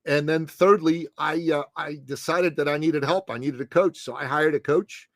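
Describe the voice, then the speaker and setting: A man's voice: a little high, fairly even intonation, moderate pace, no room sound. Emphatic man in his late fifties, dry sound. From Middle East. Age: 50s. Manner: emphatic, punchy, calls host "brother" and "man". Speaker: guest, success coach. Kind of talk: podcast interview.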